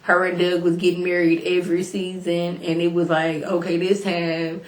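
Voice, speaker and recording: A woman with a lowish voice talks to her viewers in a casual, chatty way, full of slang. She sounds a little hoarse from a cold. The room around her is echoey. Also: normal speed. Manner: chatty, animated, slangy, addresses viewers directly. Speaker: YouTube reality TV reviewer. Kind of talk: casual recap vlog.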